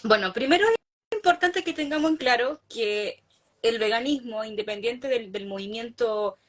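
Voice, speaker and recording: A woman's voice: a little high, moderate pace, fairly bright tone. A woman, an animal rights activist, giving an earnest explanation of her views on an online video call. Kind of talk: casual online talk.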